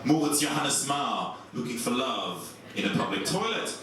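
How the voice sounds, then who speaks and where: A young Swiss man with a German accent, doing a stand-up set in a comedy club with a dry, deadpan delivery. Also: moderate pace, far from the mic. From Switzerland. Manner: dry, deadpan delivery with lively swings in pitch. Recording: echoey club recorded from afar, audience noise.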